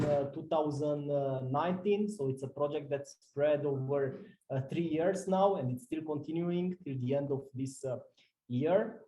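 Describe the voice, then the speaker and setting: A man, a project manager, presenting his project with halting speech and many fillers. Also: a little high, mellow, reverberant, low-quality recording. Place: seminar room.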